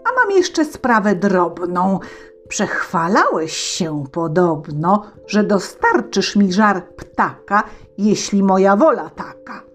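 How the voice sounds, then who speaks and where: A woman doing a furious old tsar. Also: medium pitch. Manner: shouting, imperious, threatening. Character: wrathful bearded old male tsar.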